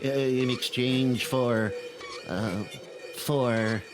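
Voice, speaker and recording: A man's voice: medium pitch, fairly even intonation, drawn-out words, music in the background. An actor playing a bemused, skeptical everyman in an audio drama, stammering and hesitant with dry incredulity.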